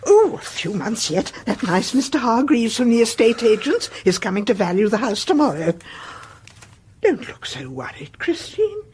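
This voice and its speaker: An actress playing a kindly, stoical elderly British woman in a radio play, motherly and fussing, with a breathy voice. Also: on the high side, big pitch swings, normal speed, a little hiss or hum. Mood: chatty, pleased. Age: older. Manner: motherly, chatty, gently fussing. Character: kindly, fussing elderly widowed-grandmother type neighbour, stoical.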